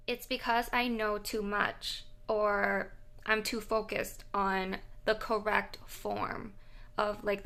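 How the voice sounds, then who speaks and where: Young woman, thai is her first language, chatty vlog talk, a bit of vocal fry. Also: mid-range voice, bright, forceful tone. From Thailand. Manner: chatty, frequent fillers and laughs. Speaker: vlogger. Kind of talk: casual talk to camera.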